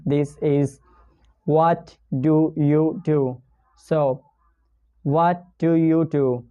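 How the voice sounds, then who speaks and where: A slow-speaking teacher with a Pakistani accent walks through pronunciation examples, repeating each phrase and checking in often. The voice is mellow and smooth. The recording sounds dull and lo-fi. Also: mid-range voice. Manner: non-native English, repeats examples, checks in with "okay?". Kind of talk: casual online pronunciation lesson.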